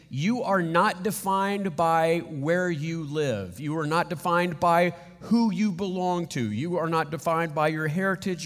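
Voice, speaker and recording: A man preaching a sermon, emphatic and animated, often repeating words as he drives points home. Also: a little high, in a large hall. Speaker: pastor.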